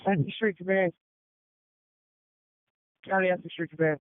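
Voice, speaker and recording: Male incident commander, high clear voice, terse orders, thin narrowband radio sound. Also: steady tone, normal speed, no room sound. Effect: two-way radio. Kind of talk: fireground radio traffic. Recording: phone-like narrowband radio transmission.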